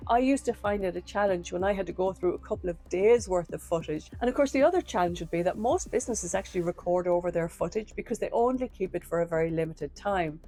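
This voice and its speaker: Hoarse woman with a mellow voice. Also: lowish voice, low-quality recording. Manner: measured, earnest, direct address to viewers. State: hoarse from a cold. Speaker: former detective, true crime channel host. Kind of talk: true crime case breakdown to camera.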